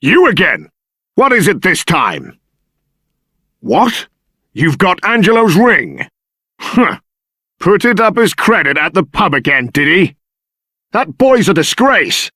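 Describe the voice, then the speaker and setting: A stern, impatient holy knight for a video game. His voice is forceful and very animated in pitch, slow and disdainful, in a dry recording. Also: no room sound, exaggerated voice. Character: haughty, impatient Templar guard. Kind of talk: video game character acting.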